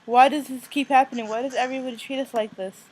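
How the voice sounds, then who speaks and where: A woman's voice: high-pitched, steady tone, fast talker, darkish tone, a little hiss or hum, lightly acted, rough recording. A woman voicing a volatile, protective husband in a visual novel, blunt and confrontational. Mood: distressed. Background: music.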